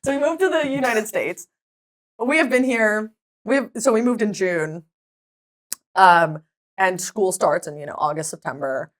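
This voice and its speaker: A woman whose first language is Hebrew, a podcast guest telling stories in a lively, chatty way and laughing often. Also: medium pitch, measured pace. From Israel. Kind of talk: casual chat.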